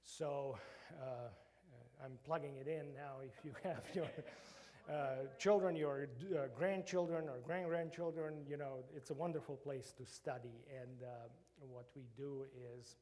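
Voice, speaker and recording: A man's voice: medium pitch, steady tone, a little slow, darkish tone. A middle-aged man from Croatia with a Croatian accent, a professor giving an informal lecture to a church group, hesitant with many fillers and restarts. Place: church meeting room.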